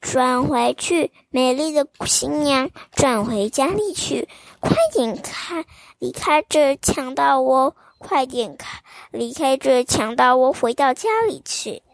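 A woman voicing a caged little bird that slowly and urgently warns a bride away. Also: high-pitched, creaky, no echo, exaggerated voice. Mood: urgent warning.

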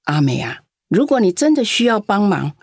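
A doting, coughing grandma. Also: female voice, mid-range voice, very animated, moderate pace, darkish tone, husky, dry recording, clean studio sound. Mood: affectionate. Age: older. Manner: coaxing, chatty, coughs now and then. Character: doting elderly grandmother, lonely, generous. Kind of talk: radio drama acting.

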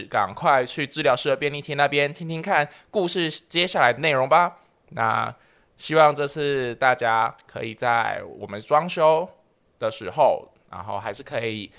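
Bright-voiced male podcast host. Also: mid-range voice. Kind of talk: casual show outro.

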